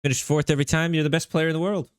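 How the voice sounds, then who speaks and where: A man streaming a game live, talking in a relaxed, rambling way with lots of fillers, his voice bassy on a studio microphone at his home setup. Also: racing, clean tone, no room sound. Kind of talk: casual live-stream commentary. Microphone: studio microphone through an audio interface.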